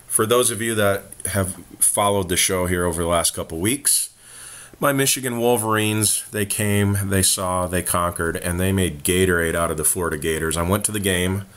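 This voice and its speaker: A man hosting a casual sports talk show, talking in a hoarse voice with laid-back, joking banter. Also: low voice, normal speed, a bit bright. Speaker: podcast host.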